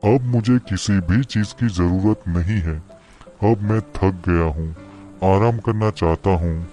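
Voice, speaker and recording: A man's voice: deep voice, takes it slowly, dark tone, soft background music. A man voicing a self-absorbed, matter-of-fact grown villager in a children's cartoon story. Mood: resigned, tired.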